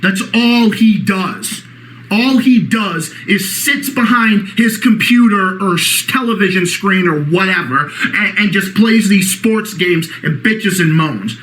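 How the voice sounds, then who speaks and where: A man with a Boston accent delivering a profane, mocking reaction rant, often shouting. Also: high-pitched, slow, low-quality recording. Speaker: YouTube ranter.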